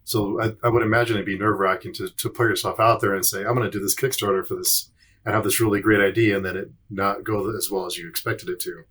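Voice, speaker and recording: A man hosting a casual podcast chat, speaking in a halting, tentative way. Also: fairly low pitch, fairly even intonation, a bit bright, husky. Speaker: podcast host.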